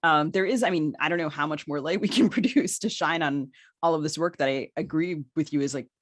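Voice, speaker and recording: A woman's voice: low voice, a little fast, dry recording. A woman speaks as a podcast co-host and interviewer in a very dry, close recording. She talks conversationally and thinks aloud, letting her questions grow long and discursive. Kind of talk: conversational literary panel discussion.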